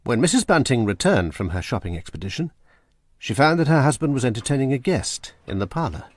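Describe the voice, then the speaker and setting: A man narrating a radio drama in a measured, dramatic storytelling manner. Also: medium pitch, sing-song, no room sound, studio quality. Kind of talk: scripted narration.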